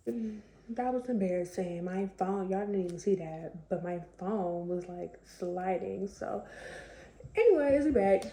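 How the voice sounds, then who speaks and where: A young woman with a slightly raspy voice, chatting casually to camera with lots of fillers. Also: medium pitch, a little slow, dark tone, echoey room, slight background noise. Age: young adult. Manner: chatty, conversational, many fillers. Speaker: vlogger.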